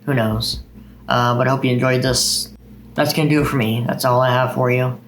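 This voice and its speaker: A man's voice: mid-range voice, steady tone, brisk, a little hiss or hum. A man hosting a video of poetry readings. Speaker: video host reading poems.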